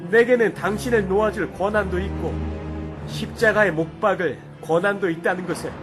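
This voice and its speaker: A man's voice: high voice, a little fast, over music, natural voice. A man playing a stern, troubled, weary Roman governor in a children's Bible story drama, speaking in formal, declamatory, old-fashioned phrases. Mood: stern questioning.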